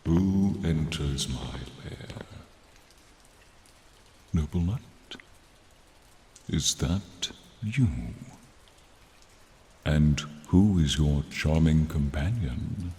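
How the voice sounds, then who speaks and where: A man voicing a noble, friendly silver dragon in a fantasy roleplay, deep and breathy, with solemn, old-fashioned courtly speech addressed to the listener. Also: dark tone, heavily acted. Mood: welcoming, stately. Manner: slow, archaic, solemn, addressing listener directly. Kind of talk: scripted fantasy ASMR roleplay. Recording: rain sound effects behind the voice.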